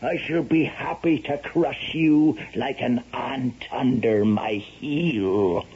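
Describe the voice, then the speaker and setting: An actor playing a lecherous, vindictive old lord in a 1970s radio drama, pompous, imperious and menacing. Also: male voice, mid-range voice, slow, slightly rough. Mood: cold vindictive. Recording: dull vintage radio recording.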